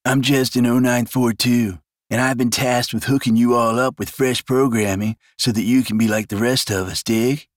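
A man's voice: medium pitch, steady tone, normal speed, hardly any pauses, no echo, studio quality, pitched above the performer's own voice, natural voice. A man voicing a smug, crude young male clone who taunts with slang. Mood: cocky.

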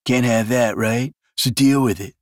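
A man's voice: medium pitch, unhurried, no echo, clean studio sound, pitched above the performer's own voice, close to an everyday voice. A man voicing a smug, crude young male clone who taunts with slang. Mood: cocky.

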